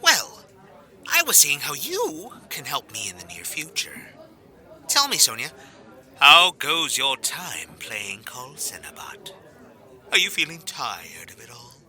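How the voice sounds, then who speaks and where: A man's voice: medium pitch, huge pitch swings, slow pace, bright, forceful tone, heavily acted. A man playing a suave, teasing secret agent in an audio drama, with a playful, theatrical drawl. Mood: smug, teasing.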